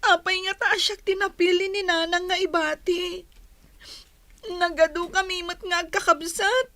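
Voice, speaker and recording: Young daughter, very high pitch, bright, whining and pleading, radio drama. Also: female voice, steady tone, normal speed, no echo. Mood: tearful pleading. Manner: plaintive, whining.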